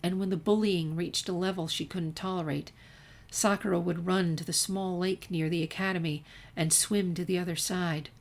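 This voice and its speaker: A woman's voice: on the low side, steady tone, moderate pace, creaky. A woman narrating a written story as a fan fiction reader.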